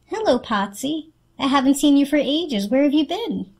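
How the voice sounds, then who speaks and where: A woman's voice: high-pitched, big pitch swings, moderate pace, darkish tone, voice pushed up. A woman voicing a young, spirited female fairy, teasing and eager, in a storybook reading. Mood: friendly, insistent.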